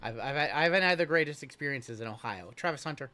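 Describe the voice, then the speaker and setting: A man's voice: mid-range voice, expressive, fast, pushed and bright. A man hosting a live card break, chatting casually and reading the chat aloud with a joking manner. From Iowa. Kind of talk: casual live-stream chat while opening cards.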